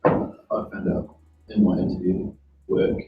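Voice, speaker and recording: A young man, an apprentice, speaking in a low-key, mumbling way. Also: unhurried, highs cut off, faint music behind. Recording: echoey room, distant mic.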